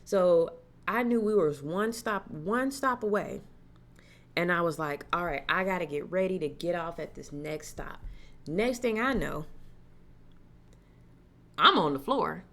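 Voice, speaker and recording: A young woman tells a personal story straight to her viewers in relaxed, slangy talk. Her voice sits a little low for a woman, and she turns lively whenever she acts out the voices of people in the story. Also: unhurried, long pauses. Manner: animated, acts out quoted voices, casual slang. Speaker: horror vlogger. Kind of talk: casual storytelling to camera.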